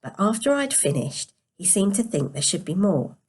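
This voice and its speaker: A woman reads a story aloud in a quiet, sleepy voice, careful but with the occasional stumble over the words. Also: mid-range voice, very animated, moderate pace, husky. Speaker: storyteller reading a novel aloud. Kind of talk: scripted story narration.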